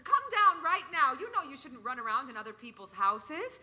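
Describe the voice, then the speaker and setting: Mother role, vintage radio drama: sensible, caring, forceful ringing tone, lively inflection. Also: high voice, normal speed, heavily acted. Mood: bewildered, scolding. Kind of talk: 1940s radio play acting on stage.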